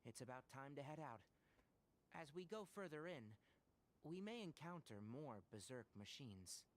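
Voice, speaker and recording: An actor playing a calm, earnest young forest ranger in a game, speaking in a steady, instructive way. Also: male voice, mid-range voice, normal speed, lightly acted. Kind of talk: game dialogue.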